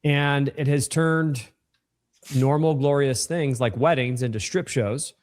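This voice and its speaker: A man in a played clip giving slow, solemn moral commentary. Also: medium pitch, a little mellow.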